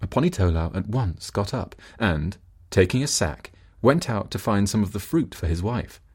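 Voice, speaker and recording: A male narrator reads a folk tale from a script. His voice is fairly low and husky, and his intonation is very lively, rising and falling widely. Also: moderate pace. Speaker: storybook narrator.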